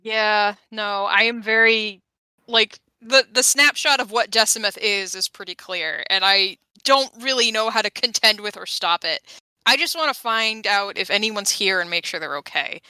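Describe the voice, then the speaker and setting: A woman's voice: medium pitch, moderate pace, pushed and bright, smooth, dry recording, poor audio. A woman chatting casually and informally as a player in a tabletop roleplaying game. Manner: informal, frequent "like".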